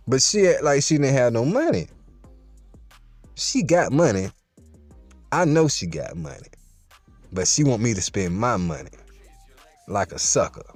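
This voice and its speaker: Animated storytelling man with street slang. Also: medium pitch, dry recording. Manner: streetwise, playful, boastful slang, addresses listeners directly. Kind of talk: casual storytelling to viewers.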